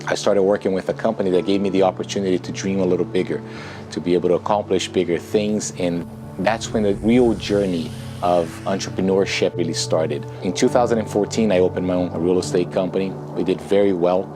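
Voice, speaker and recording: Low-voiced Brazilian man over music. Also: fairly even intonation, a little fast. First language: Portuguese. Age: 30s. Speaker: author and entrepreneur promoting a book. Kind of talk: earnest personal testimonial.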